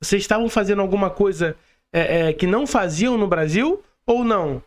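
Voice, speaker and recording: Podcast host, male, Brazilian accent, high-pitched voice, informal interviewing style. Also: lively intonation, a little fast.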